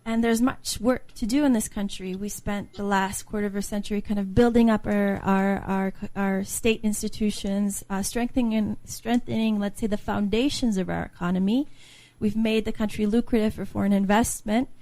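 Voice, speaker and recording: A woman's voice: mid-range voice, steady tone, normal speed, warm tone, dry recording. A woman from Slovakia, a guest author, earnestly explaining her points in a conversational interview and building them up as lists.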